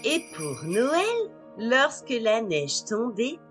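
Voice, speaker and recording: A woman narrating children's stories in an expressive storytelling style. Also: a little high, very slow, soft background music.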